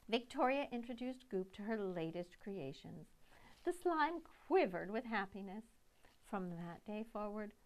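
A middle-aged woman reading a picture book aloud to children, with dramatic, deliberate phrasing. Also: mid-range voice, fairly bright tone. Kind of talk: expressive storytime read-aloud.

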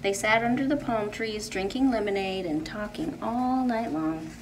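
Woman, thirties, children's read-aloud, average pitch, measured and deliberate, some background noise. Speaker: storytime reader and art teacher. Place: indoor room with painted wall. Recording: faint room background noise.

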